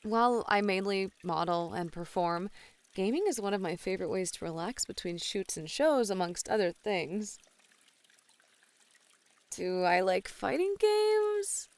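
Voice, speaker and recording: A woman playing a flirty, playful bunny woman in an ASMR roleplay, teasing and coy with soft sighs. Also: medium pitch, animated, unhurried. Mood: friendly, teasing. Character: flirty, playful bunny woman, a model relaxing in a hot spring. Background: pouring, trickling water.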